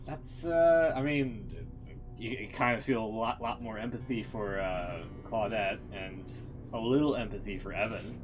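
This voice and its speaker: Low-pitched man rambling on a live stream. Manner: rambling, informal. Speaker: game streamer. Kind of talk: casual live-stream commentary. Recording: music playing behind the voice.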